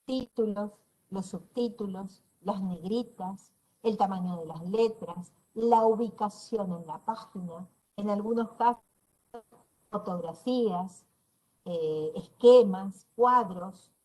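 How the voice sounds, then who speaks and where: A middle-aged woman with a northeastern Argentine accent teaches an online class over a video call. Her pitch sits in the middle range for a woman. She explains patiently, checks for understanding with quick questions and slips in dry teasing remarks. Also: warm tone, low-quality recording. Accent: northeastern Argentine Spanish. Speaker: university lecturer.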